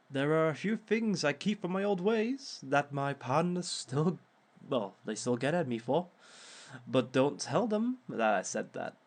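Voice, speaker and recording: A man playing a suave, smug reformed villain who lectures as a relationship expert in a theatrical, measured, drawn-out way. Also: medium pitch, darkish tone. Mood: playful. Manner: theatrical, measured, drawn-out phrasing with sly chuckles. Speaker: speaker leading a seminar session. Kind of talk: scripted in-character audio roleplay lecture.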